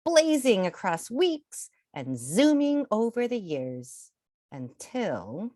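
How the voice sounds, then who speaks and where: A woman reading aloud to children in an expressive, theatrical storytelling style, drawing out key words. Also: medium pitch, slow, dry recording, studio quality. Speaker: children's picture book reader.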